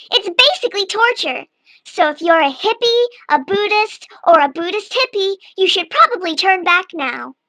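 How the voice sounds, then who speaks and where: A woman's voice: very high voice, sharp tone, dry recording. A woman casually reading a how-to guide aloud in a mock-instructional manner. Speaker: narrator reading an online text aloud.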